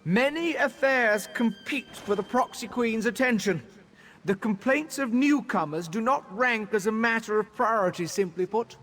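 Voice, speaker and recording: An actor playing an officious, dismissive male high elf gatekeeper in a fantasy game, speaking in a haughty, formal, curt way. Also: moderate pace, a bit bright, soft background music.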